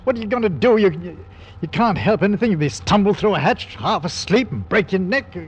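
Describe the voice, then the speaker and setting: A man's voice: sits high, expressive, a little fast. Man a steadying older captain, fatherly and plain-spoken, old radio play, slightly noisy muffled recording. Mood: urgent. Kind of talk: old-time radio drama acting. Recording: dull vintage radio recording, faint noise.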